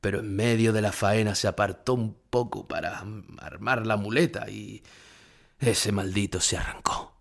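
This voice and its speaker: Mournful aging retired bullfighter, low-voiced. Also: male voice, steady tone, moderate pace, gravelly. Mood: mournful, reflective. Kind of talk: dramatic first-person monologue in an audio drama.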